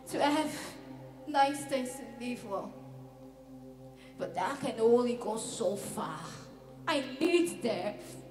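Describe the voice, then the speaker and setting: An actress playing an anguished, emotionally starved woman in a theatrical monologue to her lover, halting and swinging between hushed and loud. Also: fairly high pitch, heavily acted. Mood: frustrated, pleading. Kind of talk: emotional dramatic audition monologue. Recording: roomy echo with faint music behind.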